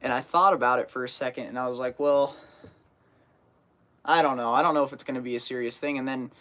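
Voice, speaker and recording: A man's voice: medium pitch, steady tone, quick pace, sharp tone. Teenage guy rambling on a live stream. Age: teens. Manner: rambling, many fillers and restarts. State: getting over a cold, sniffling. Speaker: fishing channel host. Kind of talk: casual live stream chat.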